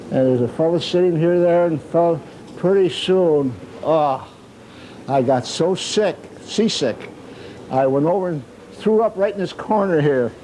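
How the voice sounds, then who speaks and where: A man's voice: fairly high pitch, unhurried, warm tone, a little hiss or hum, rough recording. Elderly man reminiscing aboard an old ship. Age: older. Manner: rambling, self-correcting anecdotes with chuckles. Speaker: Navy veteran revisiting his ship. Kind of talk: reminiscing storytelling. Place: aboard an old destroyer, indoors and on deck.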